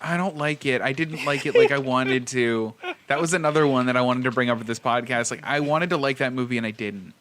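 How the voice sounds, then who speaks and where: A man's voice: medium pitch, a little fast, few pauses, noisy background. A man co-hosting a podcast, chatting casually in a frank, offhand way. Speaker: podcast co-host. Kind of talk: casual chat about movies and video games.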